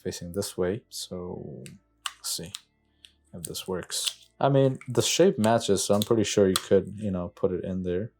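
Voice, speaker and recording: A man's voice: low voice, unhurried, a little hiss or hum. A man giving a casual product walkthrough to viewers, thinking aloud in a tentative way as he handles the parts. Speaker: product reviewer, motorcycle rider.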